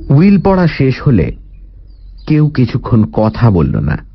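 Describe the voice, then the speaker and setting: A man's voice: medium pitch, wildly swooping pitch, takes it slowly, exaggerated voice. A man narrating a scripted story dramatically, with suspenseful, literary phrasing. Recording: dull audio under clear music.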